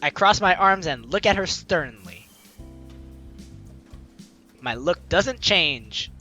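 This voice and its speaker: A young adult host doing casual, playful game commentary and theatrically reading the dialogue aloud in an excitable way. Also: medium pitch, slow pace. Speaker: let's play host. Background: faint game music.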